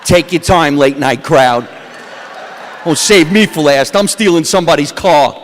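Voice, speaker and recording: A stand-up comedian with a New Jersey accent, delivering a deadpan wisecracking set onstage. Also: male voice, a little high, a little slow, a little hiss or hum. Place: comedy awards stage. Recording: long hall reverb from a stage.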